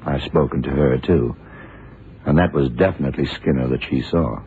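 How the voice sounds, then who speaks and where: An actor playing a shrewd, dogged FBI investigator in a radio crime drama, terse and deliberate. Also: male voice, rumbling low, dark tone. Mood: brooding. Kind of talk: 1960s radio drama acting. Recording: muffled, noisy old radio broadcast recording.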